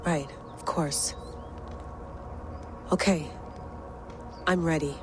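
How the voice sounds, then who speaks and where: A woman's voice: low-pitched, fairly even intonation, very slow, background noise. A woman playing a determined, intense modern field agent in a game cutscene, speaking directly in clipped phrases. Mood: resolute. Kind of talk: naturalistic game cutscene acting.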